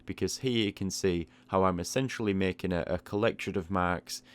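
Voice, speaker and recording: Low-voiced man explaining things casually. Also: fairly quick, clean tone. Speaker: art tutorial host. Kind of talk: casual explanatory voice-over about a drawing process.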